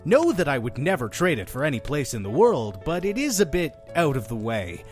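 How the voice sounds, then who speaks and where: Man playing a theatrical innkeeper, very animated pitch. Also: a little fast, soft background music. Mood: cheery, welcoming. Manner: effusive, talking over his guest. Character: chatty, theatrical, pushy male innkeeper of a mysterious inn. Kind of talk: audio drama acting.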